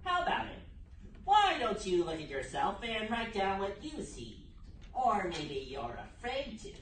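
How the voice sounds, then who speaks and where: A woman voicing a puppet bird who plays an earnest young female agent, in a deliberate, dramatic amateur reading. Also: medium pitch, huge pitch swings. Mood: challenging. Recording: roomy, distant mic, loud noise.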